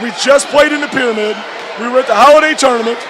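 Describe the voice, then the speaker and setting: A man, a high school basketball coach, giving a postgame radio interview with repeated phrases and halting restarts. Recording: noisy gym with loud crowd behind. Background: crowd and clear background noise.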